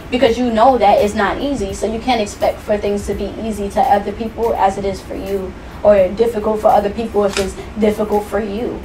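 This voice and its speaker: A woman's voice: medium pitch, a little mellow. A woman from New York, a guest musician, who speaks emphatically and a little preachily in a talk-show conversation. She repeats herself and fills her speech with fillers. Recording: distant mic with faint noise.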